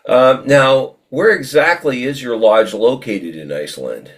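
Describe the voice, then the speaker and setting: A man hosting an informal interview, speaking in a halting, conversational way and repeating words. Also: medium pitch, expressive. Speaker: interviewer and show host.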